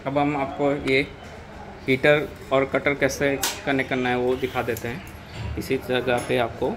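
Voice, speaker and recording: An Indian-accented man walks through the controls of a machine as he operates it, speaking in a level, unvaried tone. Loud handling and machine noise sits under his voice from a phone mic in a workshop. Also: medium pitch, monotone, measured pace. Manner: explains step by step while operating the machine. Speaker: machine seller demonstrating equipment. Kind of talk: hands-on product demonstration. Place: indoor workshop floor.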